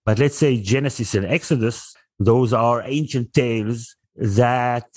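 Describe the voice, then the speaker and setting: A man's voice: mid-range voice, unhurried, a little mellow, no room sound, clean studio sound. A man whose first language is Hebrew, a guest on a podcast, explaining his ideas thoughtfully with some fillers. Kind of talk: casual podcast conversation.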